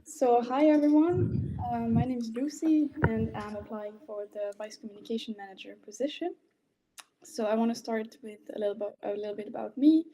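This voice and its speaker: A woman's voice: on the high side, moderate pace, dark tone, slight background noise, low-quality recording. A woman in her twenties from French-speaking Belgium, a native French speaker, giving an informal prepared presentation as a candidate. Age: 20s.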